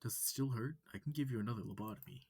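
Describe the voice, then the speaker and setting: A man's voice: mid-range voice, very fast, darkish tone, husky, dry recording, voice pushed up, close to an everyday voice. A man voicing a curious, eager alien wolf man from a visual novel, with hesitant, questioning delivery. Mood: friendly, concerned. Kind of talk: visual novel character reading.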